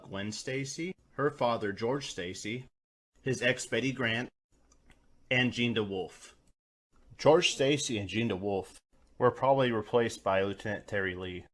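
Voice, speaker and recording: A man's voice: mid-range voice, fairly bright tone. A man hosting a scripted video review, talking in a colloquial, mildly profane way. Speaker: reviewer, host.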